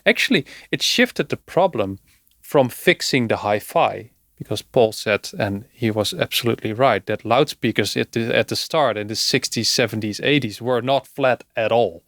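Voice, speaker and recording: A man hosting a podcast, explaining things conversationally in measured phrases with pauses. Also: mid-range voice, a bit bright, no echo, clean studio sound. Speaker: podcast host.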